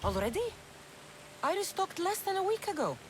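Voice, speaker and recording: An actress playing a weary but devoted warrior mother in a video game, wry and earnest in a measured way. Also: very high-pitched, very animated, a little hiss or hum. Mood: wry, fond. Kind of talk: dramatic video game acting.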